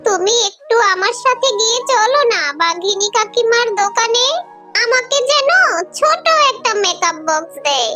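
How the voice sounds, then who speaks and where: A woman's voice: very high-pitched, expressive, moderate pace, over loud music. A woman voicing a whiny, eager little fox girl in a children's cartoon. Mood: begging.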